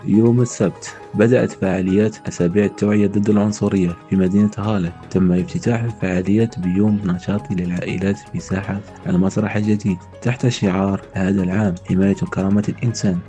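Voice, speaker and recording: A man reads scripted news very quickly in a low, mellow voice. His delivery stays even, with music playing behind him. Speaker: news reader.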